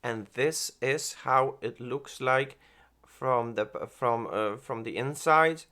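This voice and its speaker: Slow, gushing man reviewing makeup. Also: mid-range voice, sharp tone. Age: 40s. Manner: gushing, exclaims and laughs often; halting non-native phrasing with many restarts. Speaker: beauty vlogger. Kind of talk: product review and makeup tutorial.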